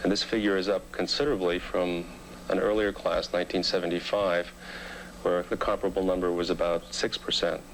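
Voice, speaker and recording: A man giving a formal, measured interview as a research expert, recorded on an old documentary soundtrack. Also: low-pitched, flat delivery, slight background noise, low-quality recording. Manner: measured, precise.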